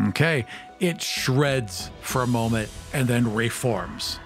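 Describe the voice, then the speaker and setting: A man's voice: medium pitch, slow, over music. A man playing a tabletop roleplaying game, casually improvising narration of the action.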